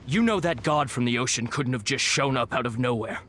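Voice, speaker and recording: A man's voice: mid-range voice, brisk, a bit bright, a little hiss or hum, close to an everyday voice. A man voicing a curious, matter-of-fact townsman in a video game, in a conversational way. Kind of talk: video game cutscene voice acting.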